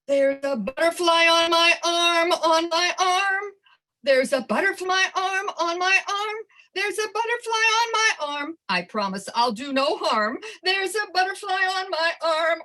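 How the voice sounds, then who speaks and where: High, bright-voiced woman demonstrating storytime. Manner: animated, theatrical, encouraging, conversational. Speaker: storytelling presenter and early literacy educator. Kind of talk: workshop demonstration with storytime performance.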